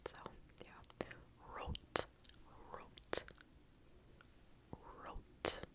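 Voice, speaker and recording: A young woman whispering an ASMR language lesson close to the mic, softly repeating words, with casual chatty asides. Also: drawn-out words. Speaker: ASMR creator teaching basic German. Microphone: close sensitive mic.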